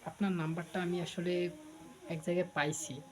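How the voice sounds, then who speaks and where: A man's voice: high-pitched, little pitch movement, normal speed, crowd noise. A man with a Bangladeshi accent making a teasing, flirtatious casual prank phone call, talking coaxingly. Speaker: prank caller.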